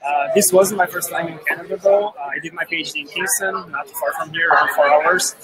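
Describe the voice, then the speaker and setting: Soft-spoken man from Portugal, noisy crowd. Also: on the high side, fairly even intonation, quick pace. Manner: soft-spoken, with frequent fillers. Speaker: research scientist guest. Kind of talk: interview answers. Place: busy event with crowd.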